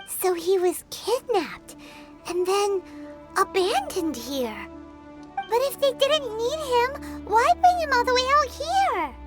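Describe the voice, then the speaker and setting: A woman voicing a tiny, childlike fairy companion in a video game, squeaky, curious and blunt. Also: sing-song, slow, full character voice. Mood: puzzled. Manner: refers to self in third person, blunt. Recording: game music loud behind.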